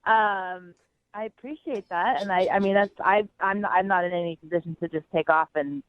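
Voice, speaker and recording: A woman's voice: fairly low pitch, moderate pace. A woman phoning in, chatting casually in a hesitant, incredulous way. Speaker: phone-in guest on a dating segment. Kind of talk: casual chat. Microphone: telephone. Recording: dull, dry phone-call sound.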